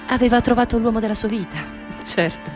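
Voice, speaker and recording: An older mother played by an actress reminisces in a radio play over clearly audible music. She speaks wistfully and haltingly, doubting her own memory and correcting herself as she goes. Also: on the high side, natural voice. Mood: wistful, tender. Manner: hesitant, self-correcting, wistful, questioning herself. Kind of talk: radio drama monologue. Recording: music under the voice.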